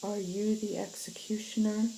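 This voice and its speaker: Lady doing a sick girl in a story, hollow n eerie, calm, kinda soft and mellow. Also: medium pitch, normal speed, a little hiss or hum, pitched above the performer's own voice, natural voice, low-quality recording. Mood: eerie, whispery. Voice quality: clear, hollow, wet.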